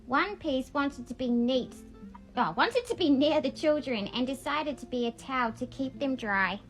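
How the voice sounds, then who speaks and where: A woman's voice: high-pitched, lively intonation, moderate pace, soft background music, low-quality recording. A woman reading aloud in a childlike storybook manner, with a casual, homemade read-along style. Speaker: vlogger reading a picture book aloud.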